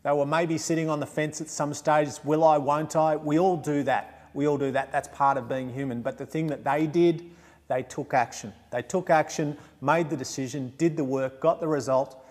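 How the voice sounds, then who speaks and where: A man's voice: on the high side, fairly even intonation, normal speed, darkish tone. Australian male host in a reverberant hall. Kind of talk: presenter's piece to camera. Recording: long hall reverb, faint music.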